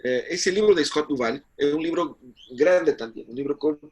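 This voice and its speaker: Husky Brazilian-born man lecturing. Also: on the high side, moderate pace, darkish tone. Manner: hesitant, many fillers and restarts. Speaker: Bible teacher. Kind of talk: online class lecture.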